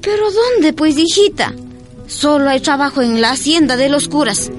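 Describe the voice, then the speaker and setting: An actress playing a caring, resigned peasant mother in a radio drama, with an Ecuadorian highland accent. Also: moderate pace, loud music behind the voice, natural voice. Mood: worried.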